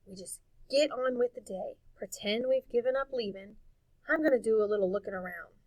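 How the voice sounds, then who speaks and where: A woman's voice: fairly high pitch, a little fast, a little mellow, no room sound, rough recording. Woman voicing a defiant, insistent young storybook boy. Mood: puzzled, determined. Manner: insistent, challenging questions.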